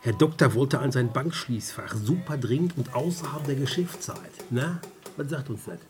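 A man's voice: mid-range voice, moderate pace, a little mellow, soft background music, natural voice. An actor playing a restless, sardonic down-on-his-luck man in a radio drama, colloquial and insistent in a naturalistic style. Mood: casual, hurried. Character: restless, sardonic down-on-his-luck amateur sleuth, wary of police. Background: faint background ambience.

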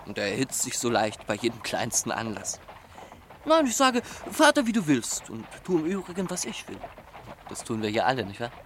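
An actor playing a flippant, chatty young aristocrat student, a governor's son, in a German radio drama. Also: male voice, medium pitch, huge pitch swings, moderate pace, slight background noise. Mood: breezy. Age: young adult. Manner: chatty, irreverent, theatrical.

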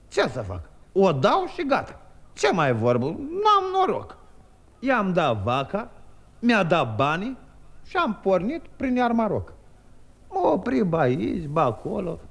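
A man playing a cheerful young peasant trickster in a 1950s radio drama, telling his tale in lively rhyme with chuckles. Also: sits high.

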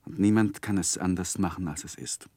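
Low male voice, dry sound, haunted storyteller, halting, solemn, vintage radio drama. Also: dark tone, husky, no room sound. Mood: grave, reflective. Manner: halting, grave, dramatic pauses. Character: grown man sorrowfully recalling his own past, reflective, haunted. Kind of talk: 1960s German radio drama narration.

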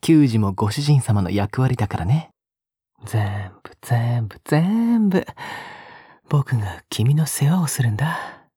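Male actor for a possessive, doting young man in audio drama: very breathy, close and dry, swooping intonation. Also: mid-range voice, lively intonation, normal speed, no echo. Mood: possessive, menacing tenderness. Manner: soft, coaxing, often dropping to a breathy whisper. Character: possessive, sickly-sweet young male captor doting on a pet-like girl. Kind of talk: intimate audio drama acting, spoken to the listener.

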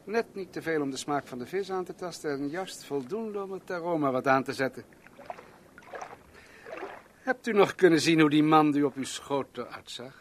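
A man's voice: a little high, normal speed, slight background noise, lightly acted. A man acting in a radio play as a self-assured, rich businessman and bon vivant, lecturing in a leisurely, pompous way. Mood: smug, commanding.

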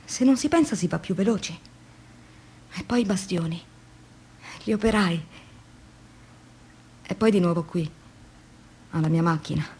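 A woman's voice: fairly low pitch, unhurried, pauses often, a little mellow, breathy edge, exaggerated voice. An actress playing a weary, demoralized young woman factory worker in an introspective, theatrical radio drama monologue. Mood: subdued, demoralized. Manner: introspective, theatrical, shifting between murmurs and emphatic phrases.

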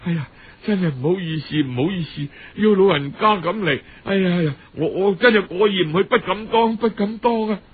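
A man's voice: high-pitched, brisk, slightly rough, narrow, tinny audio. A man voicing a frail, humble young orphan woman in Cantonese storytelling, weak, apologetic and hesitant, often sighing. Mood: flustered apology.

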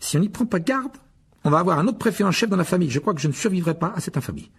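Breezy, joking teenage wizard, as a man reader puts him on, raspy, lively. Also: sits high, very fast, voice pushed up, full character voice. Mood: mocking. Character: teasing teenage wizard boy.

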